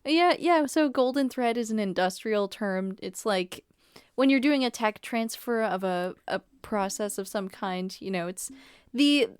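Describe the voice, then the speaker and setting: A woman co-hosting a podcast from a home setup, speaking softly and quietly into her own mic, with frequent giggles. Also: mid-range voice, smooth, no echo, clean studio sound. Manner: soft-spoken, quiet, giggly. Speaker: podcast co-host. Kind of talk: casual podcast chat. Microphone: studio mic on an arm.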